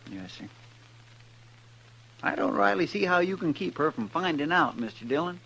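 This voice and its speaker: A man's voice: on the high side, very animated, moderate pace, a bit bright, breathy edge, full character voice. A man playing a good-hearted, folksy deputy sidekick in an old-time radio western, deferential in manner. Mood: concerned. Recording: noisy old radio recording with hum.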